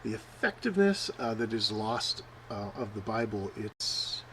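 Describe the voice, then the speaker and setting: Rambling low-voiced male preacher. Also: big pitch swings, unhurried, a bit bright, slight background noise. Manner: rambling, many fillers, asks "right?" often. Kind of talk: informal sermon.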